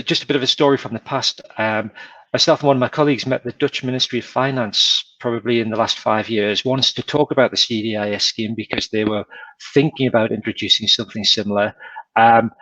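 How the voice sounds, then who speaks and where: A man's voice: medium pitch, fairly even intonation, fast talker, a bit bright, dry recording. A man, a tax adviser on a panel, explaining procedures methodically step by step. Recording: dull, dry video-call audio.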